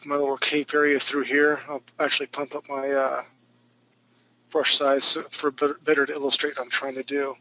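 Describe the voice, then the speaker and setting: A man's voice: mid-range voice, flat delivery, normal speed, pushed and bright, rough recording. A man in his thirties teaching a live drawing class, talking through each stroke with chatty joking asides. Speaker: drawing instructor.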